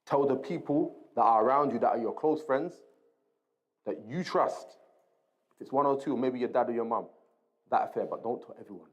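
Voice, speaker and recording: A man's voice: mid-range voice, moderate pace, pauses often, lo-fi sound. A man giving an informal Islamic lesson, explaining point by point in a conversational way, repeating key phrases and checking in with short tag questions. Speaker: religious teacher reading and explaining a book.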